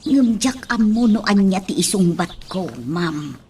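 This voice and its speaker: An actress with an Ilocano accent playing a concerned, loyal nanny in a radio drama. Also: female voice, mid-range voice, animated, measured pace, warm tone, natural voice. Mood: uneasy. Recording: distant mic, faint noise.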